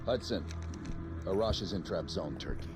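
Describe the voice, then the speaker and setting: A man's voice: deep voice, animated, moderate pace. An actor playing a hard, terse male covert operative in a video game, with a threatening manner. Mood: grim, terse. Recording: loud music over dialogue.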